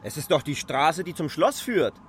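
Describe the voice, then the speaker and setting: An actor playing a stranded land surveyor seeking a ride, in radio drama dialogue. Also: male voice, fairly high pitch, big pitch swings, normal speed, a little hiss or hum. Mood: insistent. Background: loud music.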